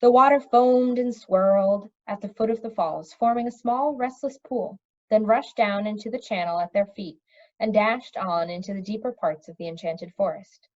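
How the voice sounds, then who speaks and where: A woman reading a fantasy story aloud in an expressive storytelling manner. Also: mid-range voice, normal speed, dull audio. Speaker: audiobook reader. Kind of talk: reading a children's fantasy novel aloud with character voices.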